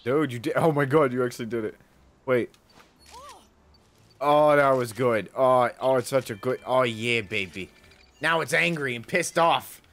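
A man's voice: mid-range voice, unhurried, pauses often, fairly bright tone. A young man co-streaming a video game with casual, profane, wisecracking banter. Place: indoor streaming setup. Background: game music.

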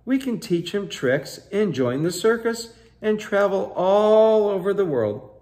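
Older guy doing an excitable little storybook girl, high voice, pushy and animated. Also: measured pace. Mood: excited. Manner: exclaiming, animated, insistent. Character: Fifi, eager excitable pleading little girl in picture book.

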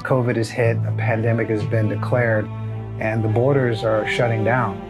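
A man's voice: lowish voice, flat delivery, moderate pace. American surgeon, man over music. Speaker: surgeon, head of a clinic. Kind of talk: documentary narration and interview.

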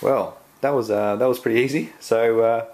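An Australian man giving a casual unboxing walkthrough at home, halting and full of ums and restarts. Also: medium pitch, steady tone, darkish tone. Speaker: tech reviewer. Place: indoors at home, filming the box up close.

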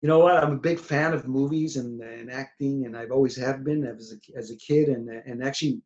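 A man's voice: medium pitch, fairly quick. A man in his forties from New York, a singer-songwriter chatting in an interview from a small room at home, often restarting and trailing off. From New York City. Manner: rambling, many restarts, trailing off quietly. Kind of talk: casual interview over video call. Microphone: webcam on video call. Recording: dull lo-fi video call audio.

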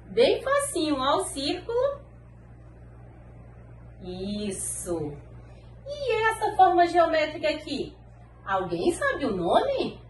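A Brazilian woman in her thirties, a preschool teacher speaking slowly and encouragingly to small children in an online lesson. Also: high voice, huge pitch swings. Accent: Brazilian Portuguese. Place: classroom wall with posters, indoors. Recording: echoey room, faint background noise.

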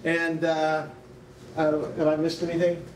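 A woman hosting an informal event in a hall, briskly directing and calling people up. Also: sits low, completely flat delivery. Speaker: event host. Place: indoor rehearsal hall. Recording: clear room noise with clicks.

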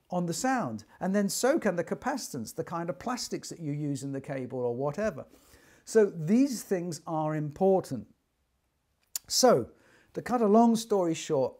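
A man's voice: fairly high pitch, darkish tone. Older man explaining things informally, emphatically. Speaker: loudspeaker designer explaining his craft. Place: small furnished sitting room indoors.

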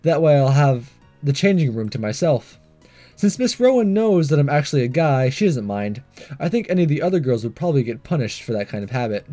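A man's voice: medium pitch, big pitch swings, brisk, warm tone, faint music behind, close to an everyday voice. A man voicing a confident, casual teenage boy disguised as a schoolgirl, narrating a visual novel. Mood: breezy. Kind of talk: first-person visual novel narration and dialogue.

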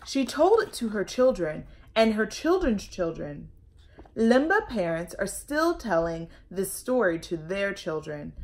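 A woman in her thirties reading a children's picture book aloud, deliberate and expressive, recorded at arm's length at home. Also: mid-range voice. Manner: animated, deliberate, emphatic storytelling. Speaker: storytime host. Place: home living room and kitchen, indoors.

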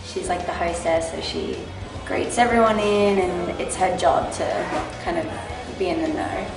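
A woman with a slightly low voice speaks as an actress in a promo interview. She sounds a little distant and roomy, with music behind her. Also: unhurried, vocal fry, a crowd in the background. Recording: echoey room, distant mic, music behind.

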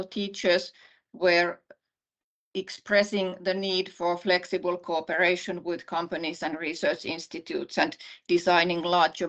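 A middle-aged woman with a Finnish accent gives an online presentation as a university vice-dean, speaking in a measured way with many pauses and restarts. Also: lowish voice. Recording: slightly muffled video call audio.

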